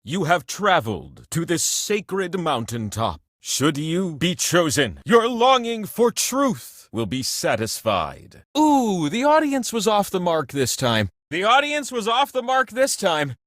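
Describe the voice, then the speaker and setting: A man voicing an excitable, grandiose talking prize wheel that hosts a video game show in a playful, theatrical, mock-pompous manner. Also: high-pitched, takes it slowly, few pauses, no room sound, studio quality, full character voice. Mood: grandly mystical, then delighted. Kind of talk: animated video game announcer lines.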